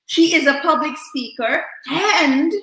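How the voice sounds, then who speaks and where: High bright-voiced woman co-host. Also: unhurried. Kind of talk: hosting an interview. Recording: echoey room.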